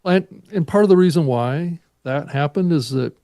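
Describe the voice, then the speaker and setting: A man's voice: a little high, lively intonation, normal speed, dark tone, no echo, clean studio sound. A middle-aged man co-hosting a podcast, explaining industry matters in casual conversation. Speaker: podcast co-host.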